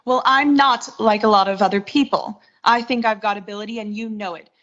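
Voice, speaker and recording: A woman's voice: mid-range voice, fairly even intonation, a little fast, a bit bright, close to an everyday voice. Teen girl acting a defiant, ambitious young woman, muffled. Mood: defiant, bitter. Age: teenager. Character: ambitious, defiant young woman job seeker, narrating her story. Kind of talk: 1940s suspense radio acting. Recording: muffled home voice track, music behind.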